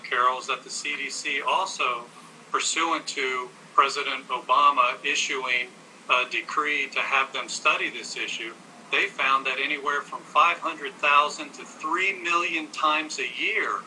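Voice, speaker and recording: A man's voice: medium pitch, steady tone, measured pace, bright, forceful tone, a little hiss or hum, rough recording. An American man arguing assertively as an advocate in a televised interview, correcting his host. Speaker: gun-rights advocate, interview guest. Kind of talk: televised debate, arguing with statistics.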